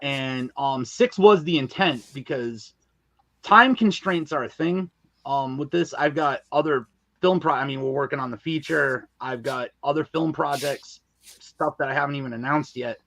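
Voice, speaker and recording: Male podcast guest, medium pitch, colloquial and emphatic, very dry sound. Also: moderate pace, vocal fry, a bit bright, no echo, low-quality recording. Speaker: guest, audio drama writer and filmmaker. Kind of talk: casual podcast interview.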